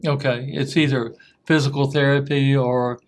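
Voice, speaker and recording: A man hosting a TV interview show, asking questions in a halting way and often repeating his words. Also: medium pitch, flat delivery. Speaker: host.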